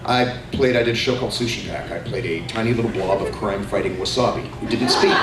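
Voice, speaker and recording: A middle-aged man, a voice actor on a convention panel, talking in an animated, jokey way and slipping into character voices. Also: lowish voice, normal speed. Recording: echoey hall, far from mic, noisy.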